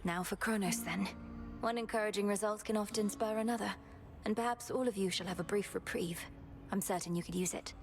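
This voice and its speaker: A woman voicing a solemn, determined young witch goddess in a video game, with formal, measured, archaic phrasing. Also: medium pitch, fairly even intonation, lightly acted. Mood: solemn, resolute. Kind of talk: scripted video game voice acting. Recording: music behind the voice.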